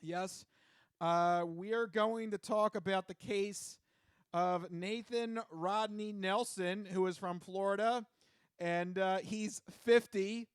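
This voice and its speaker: A man with a high voice co-hosts a podcast in a casual, playful way. He chats and tells stories close to the microphone. The sound is dry, with hardly any room. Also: fairly even intonation, takes it slowly, clean tone, no echo. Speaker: podcast host. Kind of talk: casual banter and reading odd news.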